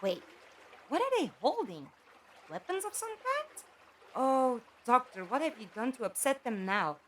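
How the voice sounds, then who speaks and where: A woman's voice: high-pitched, sing-song, unhurried, fairly bright tone, a little hiss or hum, heavily acted. A woman playing a curious lone explorer in an audio drama, puzzling aloud to herself. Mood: bewildered, curious.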